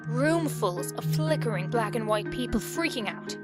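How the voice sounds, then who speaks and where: Scathing female skeptic, played by a woman, fairly high voice, articulate, accusatory, sweary. Fan-dub drama with clear music underneath. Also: lively intonation, moderate pace, close to an everyday voice. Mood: scornful. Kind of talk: amateur fandub voice acting. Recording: music behind the voice.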